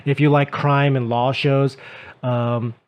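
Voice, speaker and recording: A man's voice: medium pitch, little pitch movement, slow pace, clear, resonant tone, highs cut off. A man co-hosting a radio show, chatting casually with frequent fillers as he gives tips. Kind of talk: casual radio chat giving English-learning tips. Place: radio studio.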